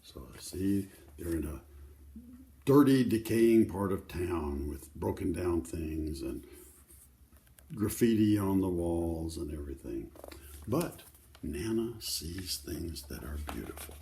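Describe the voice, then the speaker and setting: A man's voice: low-pitched, lively intonation, slow pace, vocal fry, warm tone, a little hiss or hum. An older man reading a children's book aloud, adding simple conversational asides to explain the pictures. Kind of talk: read-aloud storytelling with informal asides to children.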